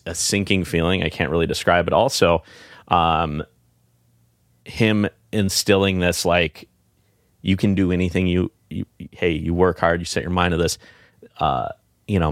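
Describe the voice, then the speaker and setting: A middle-aged man with a deep voice, speaking in a reflective, halting way with many fillers and restarts, as a guest in a casual heartfelt conversation. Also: gravelly, no room sound, clean studio sound. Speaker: podcast guest.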